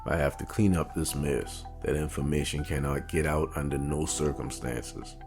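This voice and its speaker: Male actor as mob enforcer for an audio drama: very low, slow, grave and threatening, music underneath. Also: steady tone, gravelly, darkish tone. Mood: grave menace. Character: menacing married male mob enforcer, coldly regretful. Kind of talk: dramatic audio story acting. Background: background music.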